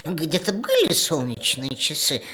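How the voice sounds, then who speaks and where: A woman's voice: deep voice, huge pitch swings, measured pace, slight background noise, exaggerated voice. A woman voicing a caring, fussy older female owl in a cartoon. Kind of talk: animated cartoon acting. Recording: loud music behind the voice.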